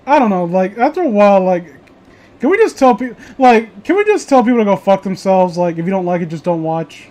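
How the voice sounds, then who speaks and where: A man with a high voice talks casually among friends. He rambles through his opinions and swears freely as he goes. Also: animated, a little fast, darkish tone. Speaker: host of a reading show.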